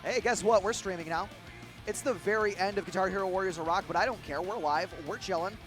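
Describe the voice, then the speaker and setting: A man's voice: high voice, fast, a bit bright. A young man with a Midwestern accent, commentating a live gaming tournament in a rambling way, with fillers and restarts. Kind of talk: live esports play-by-play commentary. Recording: guitar game music underneath.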